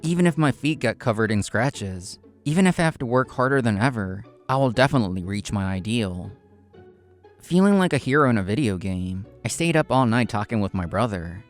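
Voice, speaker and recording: A man's voice: lowish voice, lively intonation, fairly quick, soft background music, lightly acted. A man playing a proud, competitive young consultant in a manga drama, intense and curt, narrating and speaking his lines. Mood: determined. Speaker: narrator and main character.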